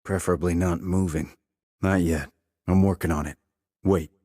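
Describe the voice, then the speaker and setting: Game sniper, husky, low-pitched, terse military reporting, controlled, dry confidence. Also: male voice, steady tone, normal speed, mellow, dry recording. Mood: businesslike. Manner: clipped radio-style reports, dry and controlled. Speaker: video game voice actor. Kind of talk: scripted military game dialogue.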